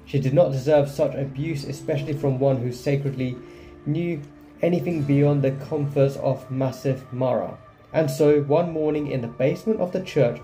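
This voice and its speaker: Very mellow, dark-voiced man over game music. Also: mid-range voice, low-quality recording. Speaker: gaming video creator. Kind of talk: gameplay commentary and reading game text aloud.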